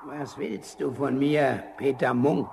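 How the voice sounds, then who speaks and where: A man's voice: mid-range voice, slow pace, creaky, darkish tone. A man playing a tiny, ancient forest spirit, stern and commanding, with solemn old-fashioned diction in a fairy-tale audio drama. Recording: vinyl rip with faint noise.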